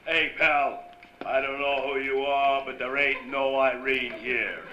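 A man's voice: medium pitch, fairly even intonation, measured pace, lightly acted. An actor playing a brusque, slangy big tough man in an old-time radio drama. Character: gruff big man, brusque lover at motel door. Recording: dull, echoey live stage recording, faint noise.

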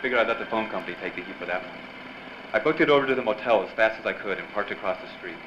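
Actor as a low-voiced noir reporter, noisy recording. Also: male voice, rapid-fire, close to an everyday voice. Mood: wry, urgent. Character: hard-boiled, smitten 1940s newspaper reporter, narrating his story. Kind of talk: 1940s radio drama acting. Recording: dull, noisy live stage recording.

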